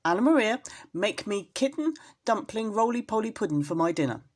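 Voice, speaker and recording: A woman voicing an enormous, bossy old male rat in a storybook, insistent and squeaky as he makes his demands. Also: sing-song, brisk. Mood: bossy demanding.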